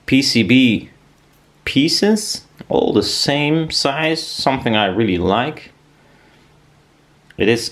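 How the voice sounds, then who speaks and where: A man giving a casual workshop demonstration as a DIY maker, rambling and thinking aloud as he goes. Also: on the low side. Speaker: DIY maker presenting a build video.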